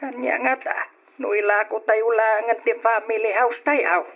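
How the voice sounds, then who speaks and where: A man playing a smooth-talking, pushy family man in an old Ilocano radio drama, on a dull recording. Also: high-pitched, level tone, a little slow. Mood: pushy, insistent.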